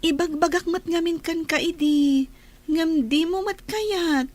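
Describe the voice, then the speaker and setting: A woman's voice: very high voice, unhurried, a little mellow. A woman with an Ilocano accent playing a hopeful, dutiful young working student in a radio drama.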